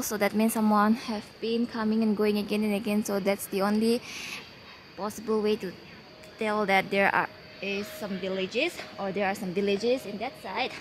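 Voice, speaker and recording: A woman's voice: medium pitch, slight background noise, rough recording. A young woman vlogging while she climbs a forest mountain trail, tired and sweating, chatting to the camera and cheering herself on. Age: young adult. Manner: chatty, breathless from climbing, self-encouraging. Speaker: travel vlogger. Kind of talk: hiking vlog narration. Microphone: handheld camera held close.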